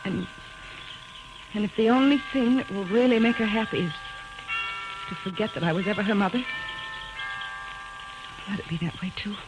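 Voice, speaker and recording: A woman's voice: medium pitch, moderate pace, long pauses, over music, natural voice, low-quality recording. An actress playing a sorrowful, devoted mother in an old-time radio melodrama. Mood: wistful, sorrowful. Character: sorrowful, resigned, devoted mother fighting for her daughter.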